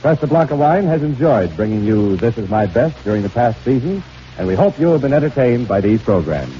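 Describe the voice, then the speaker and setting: A man's voice: mid-range voice, sing-song, a little fast. A man announcing and advertising on 1940s radio in a polished, emphatic, dramatic delivery. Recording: muffled old radio recording with music. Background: music.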